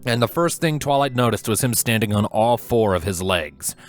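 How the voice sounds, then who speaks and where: A man's voice: lowish voice, expressive, normal speed, faint music behind. A man reading a scripted story aloud as the narrator. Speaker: fan fiction narrator.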